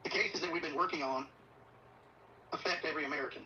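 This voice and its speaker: A middle-aged man, a police official, delivering an earnest prepared video statement in a solemn, deliberate, urgent way. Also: on the high side, fairly even intonation, pushed and bright. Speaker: assistant police chief. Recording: distant, muffled playback with faint noise. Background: faint background noise.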